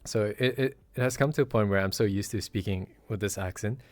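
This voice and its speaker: A man's voice: on the low side, fairly quick, no room sound. A man from Malaysia chatting candidly on a podcast, casual and with a bit of swearing. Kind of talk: casual group chat.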